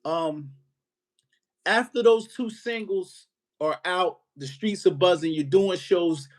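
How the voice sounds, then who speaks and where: A man hosting a podcast, asking questions in a relaxed way with frequent short affirmations. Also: high voice, slow pace. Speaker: podcast host. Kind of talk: casual interview questions.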